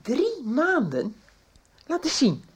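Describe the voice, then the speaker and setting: A woman playing a worried, brisk middle-aged mother in a radio soap, probing with questions. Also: high voice, wildly swooping pitch, heavily acted. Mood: shocked, stern. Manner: agitated, questioning. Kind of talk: 1990s radio soap acting.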